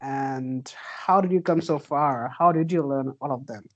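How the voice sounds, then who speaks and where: A woman's voice: rumbling low, normal speed, highs cut off. A woman hosting an interview, asking questions politely and answering with short encouraging remarks. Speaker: interviewer host.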